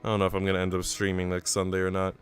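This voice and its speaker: A man's voice: deep voice, completely flat delivery, very fast, faint music behind. A man reading a visual novel aloud on a stream, mixing narration with casual, chatty remarks to viewers. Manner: chatty asides between narrated passages. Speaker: game streamer.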